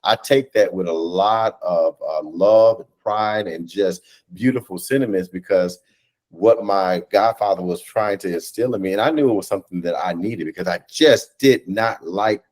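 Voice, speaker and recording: An American man in his thirties speaking earnestly as an interview guest on a video call, halting and repeating phrases for emphasis. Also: deep voice, darkish tone. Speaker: guest, stage play cast or crew member. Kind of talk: reflective conversational interview. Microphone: video call microphone.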